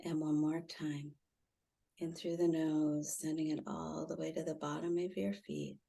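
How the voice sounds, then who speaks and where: Female meditation guide, low and dark-toned, soothing, with spacious pauses. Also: flat delivery, gravelly, husky. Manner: soft, soothing, gently instructive with long pauses. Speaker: meditation guide, intuitive healer.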